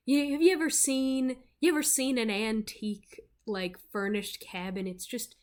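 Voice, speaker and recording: A slow, unhurried woman from Virginia runs a tabletop game as its game master. She rambles through scene descriptions, filling gaps with ums and likes and breaking into laughter. Her voice sits at a middling pitch. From Virginia, USA. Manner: rambling, laughs often, frequent fillers.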